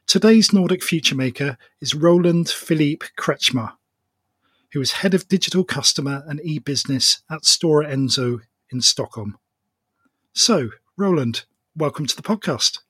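A man hosting a podcast, introducing his guest and asking relaxed, plain questions. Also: a little high, normal speed, husky, no echo. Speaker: podcast host. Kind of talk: interview hosting.

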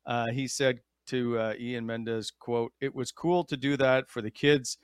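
A man's voice: medium pitch, steady tone, unhurried, clean tone, no room sound, studio quality. A man hosting a sports podcast in a casual, conversational style. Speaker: podcast host.

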